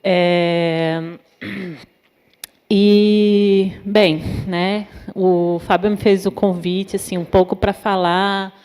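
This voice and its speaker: A woman from Natal with a northeastern Brazilian accent, a psychologist giving an informal talk at a university event, pausing often with fillers. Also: medium pitch, flat delivery, darkish tone, clean tone. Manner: many fillers, thoughtful and hesitant. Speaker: invited speaker, psychologist. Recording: long hall reverb.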